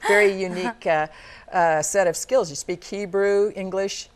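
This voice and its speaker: A woman's voice: mid-range voice, normal speed, a loud crowd. A woman hosting a TV interview show, asking questions in a friendly way and backing the guest with frequent "mm-hmm". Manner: friendly, with steady "mm-hmm" backchannels. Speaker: TV show host. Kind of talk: TV studio interview.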